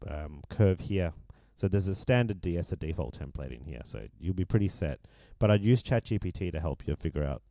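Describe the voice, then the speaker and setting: A man with a deep voice that is going hoarse, casually walking through his vocal mix with many ums and restarts. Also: dark tone, no room sound, studio quality. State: losing his voice. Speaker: music producer explaining his mix. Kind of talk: casual screen-share tutorial.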